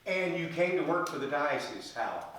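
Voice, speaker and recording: An older man hosts the interview in a measured way and clears his throat now and then. His voice sits a bit high for a man. The sound is very echoey and comes from a mic well away from him. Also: lively intonation, fairly bright tone, far from the mic. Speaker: bishop hosting a diocesan interview show. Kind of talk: interview hosting.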